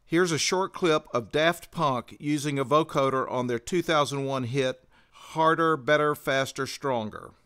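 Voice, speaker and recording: A man's voice: mid-range voice, unhurried, a bit bright, smooth. A man presenting a tutorial in methodical, scripted explanatory narration. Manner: methodical, instructional.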